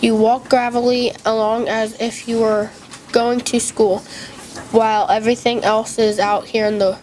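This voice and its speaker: A woman's voice: a little high, little pitch movement, unhurried, darkish tone, slight background noise, close to an everyday voice, poor audio. A woman voicing a sly talking wolf that sweet-talks with feigned kindness, read aloud from a storybook. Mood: coaxing.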